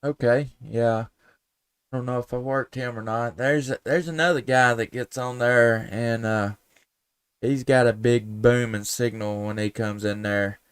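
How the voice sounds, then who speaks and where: Male, clear smooth voice, close dry sound. Easygoing, folksy ham radio host. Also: medium pitch, fairly even intonation, measured pace, no room sound. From United States. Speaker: amateur radio operator, live stream host. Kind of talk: casual radio contact chat.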